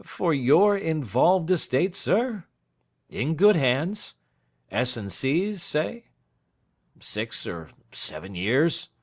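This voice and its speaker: A dry, shrewd old lawyer, as a man puts him on, pitched up high and bouncing over a wide range. He prods with sly questions and leans hard on his words, loud and deliberate. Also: sing-song, heavily acted. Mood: persuasive. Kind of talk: audiobook character reading.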